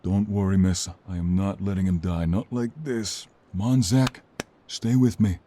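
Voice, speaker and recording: A man plays a protective mobster for an audio roleplay. He is grave and weary, with a very low, dark voice. He speaks slowly and huskily, as someone devoted to his own. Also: fairly even intonation, a little hiss or hum. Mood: urgent, desperate.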